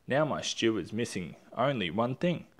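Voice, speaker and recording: A man's voice: on the low side, big pitch swings, normal speed. A man voicing a cocky, gleeful, clever dingo in a storybook, boastful and given to sing-song chanting.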